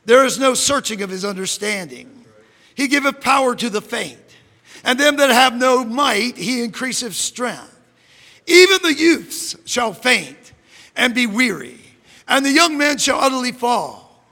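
A middle-aged man preaching a sermon in a church, reading scripture and rising into fervent shouts. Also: very high voice, animated, a little slow, creaky. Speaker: pastor. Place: church sanctuary. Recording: long church hall reverb.

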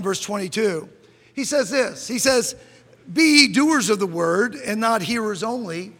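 A middle-aged man preaching a sermon in a church, reading scripture and rising into fervent shouts. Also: high voice, creaky. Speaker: pastor. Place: church sanctuary. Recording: long church hall reverb.

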